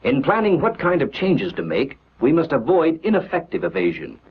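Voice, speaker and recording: A man narrating a scripted 1940s military training film in a measured, instructional, declarative manner on an old film soundtrack. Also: fairly high pitch, huge pitch swings, creaky, phone-quality sound, a little hiss or hum.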